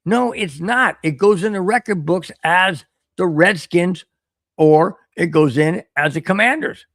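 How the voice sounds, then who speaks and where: A man hosting a solo podcast stream, ranting animatedly and repeating himself while his voice wears hoarse. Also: sits high, measured pace, fairly bright tone, no echo, studio quality. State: voice getting hoarse. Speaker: podcast host. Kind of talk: solo livestream rant reading chat.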